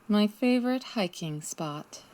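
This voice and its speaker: A woman playing a sassy, sharp female private detective in a comedic 1940s-style radio drama, with wry, teasing delivery. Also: mid-range voice, animated, measured pace, close to an everyday voice. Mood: wry, romantic. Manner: wry, teasing, dry quips.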